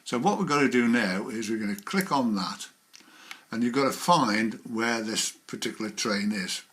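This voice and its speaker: Bright-voiced man giving a hands-on demonstration. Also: mid-range voice, animated, normal speed. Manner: hands-on, talking through each click as he goes. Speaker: presenter of a how-to video. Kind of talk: informal step-by-step software demonstration.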